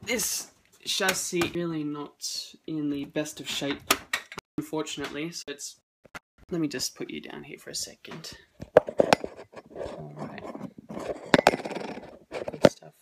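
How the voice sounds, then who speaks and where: A man's voice: on the high side, steady tone, slow pace, vocal fry, pauses often, heavy background noise. A man in his thirties giving a casual handheld walkthrough of a computer build at home, filled with ums and uhs, recorded on a phone camera. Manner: hesitant, many ums and uhs. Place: home room indoors. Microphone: phone camera held in hand.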